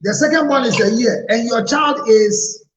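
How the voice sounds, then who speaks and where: A man's voice: very high voice, normal speed, roomy sound, low-quality recording. A man with a Ghanaian English accent hosting a counselling show, firmly repeating his questions. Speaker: relationship counselor host.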